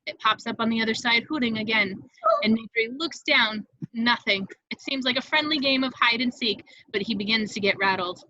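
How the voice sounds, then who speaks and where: A woman's voice: a little high, moderate pace, pushed and bright, no echo, lo-fi sound. A woman reading scripted stage directions as the narrator of a table read, in a vivid storytelling manner.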